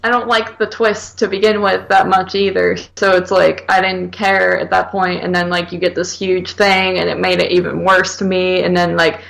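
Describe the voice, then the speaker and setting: A woman's voice: lowish voice, flat delivery, moderate pace, creaky, hardly any pauses, a bit bright, poor audio. A woman co-hosting a film review podcast, chatting casually and argumentatively in blunt, slangy speech peppered with "like.". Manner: blunt, exasperated, slangy, repeatedly hedging with "like".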